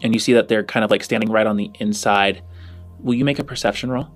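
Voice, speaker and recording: A man narrating as game master of a sci-fi tabletop game, improvising descriptions in deliberate, drawn-out phrases. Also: lowish voice, soft background music.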